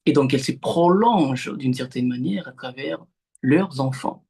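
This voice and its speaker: A young man from the Democratic Republic of the Congo, a Swahili native speaker, answering literary interview questions haltingly over a remote call, with many fillers and restarts. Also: mid-range voice, vocal fry, warm tone, husky. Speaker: novelist, interview guest.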